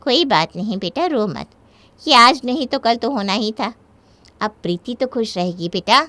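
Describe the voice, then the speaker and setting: A gentle middle-aged aunt played by a woman in an audio story. Her manner is soothing and resigned, in a fairly high, dry voice. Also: animated, moderate pace, dry recording. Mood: consoling.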